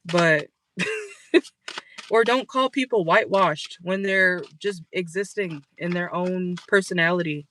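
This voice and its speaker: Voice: mid-range voice, lively intonation, slow pace, sharp tone, slight background noise, poor audio. A tarot reader giving a casual reading for viewers from a car, blunt and profane, tagging lines with "okay?", and losing their voice.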